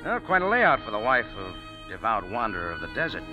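A man's voice: on the low side, huge pitch swings. A man in a 1940s radio drama playing a wisecracking café owner who also narrates in a hardboiled tone. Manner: wry, brisk, hardboiled. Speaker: lead and narrator. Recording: old radio broadcast with music.

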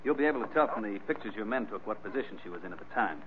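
An actor playing a brusque movie studio boss in an old-time radio drama. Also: male voice, lowish voice, expressive, rapid-fire, close to an everyday voice. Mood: businesslike. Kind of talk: 1940s radio drama acting. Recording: dull, noisy old radio recording.

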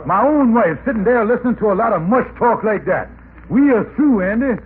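A man's voice: high-pitched, lively intonation, a little fast, husky, full character voice. A man playing an aggrieved, scheming husband in radio comedy, blustering in folksy nonstandard grammar. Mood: indignant. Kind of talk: 1950s radio comedy acting. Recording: scratchy, narrow-band old radio recording.

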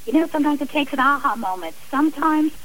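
A woman's voice: high-pitched, expressive, fast talker, fairly bright tone, low-quality recording. A middle-aged woman speaking as an interview guest, earnest and emphatic in a conversational way. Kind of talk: personal account in a radio interview.

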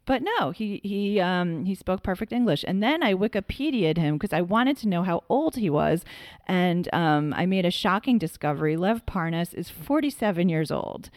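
A woman co-host talks through political news in a casual, colloquial style. Her voice sits a little low, and she sounds emphatic and incredulous. The sound is close and dry. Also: animated, normal speed, no echo. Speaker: podcast co-host.

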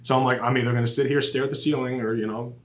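A man's voice: medium pitch, racing. A man in his late twenties, a police officer guest, chatting casually on a podcast and acting out quotes as he tells stories. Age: 20s. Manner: animated storyteller, acts out quotes.

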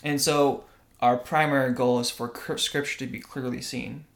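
A man's voice: medium pitch, fairly even intonation, normal speed, vocal fry. A young man from Russia co-hosting a casual religious podcast, earnest and often checking in with "right?". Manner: earnest, tag questions like "right?". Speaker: podcast co-host.

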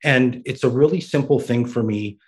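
A developmental service worker being interviewed, answering in a thoughtful, measured way with some repeated words. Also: male voice, mid-range voice. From Iraq, raised in Canada.

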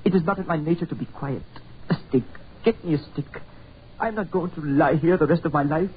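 A man's voice: on the high side, lightly acted. Man acting a formal, horror-struck man, old tinny radio. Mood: restless, insistent. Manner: formal, halting, dramatic phrasing. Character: injured foreign man, agitated, awestruck and insistent. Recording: noisy, tinny 1940s radio recording.

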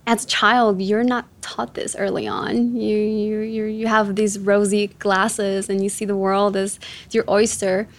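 Female interview guest, twenties, average pitch, conversational personal storytelling, grew up in Chicago. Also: level tone, measured pace. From born in Ecuador, raised in Chicago from age seven. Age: 20s. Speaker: interview guest, ocean activist.

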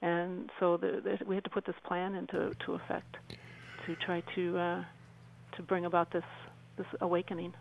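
Female interview guest: gravelly fry, restrained intonation, earnest and reflective, halting conversational phrasing. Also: fairly low pitch, completely flat delivery, slight background noise, rough recording. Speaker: radio show guest.

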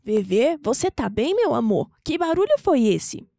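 A woman playing an affectionate old grandmother in an audio drama, tender and measured, with a Brazilian accent. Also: on the high side, sing-song, gravelly, noisy background, exaggerated voice. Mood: concerned. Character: affectionate old grandmother, keeper of family stories.

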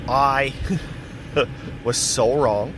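A man's voice: medium pitch, drawn-out words. Rambling man with background hiss. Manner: rambling, self-correcting, chatty. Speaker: podcast host. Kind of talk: casual solo monologue. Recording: steady background noise.

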